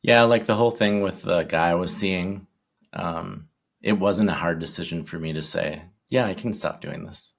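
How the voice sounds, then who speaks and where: A man in his forties, a guest in a casual interview, talking in a shy, halting way with many ums and restarts. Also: sits low, creaky. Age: 40s.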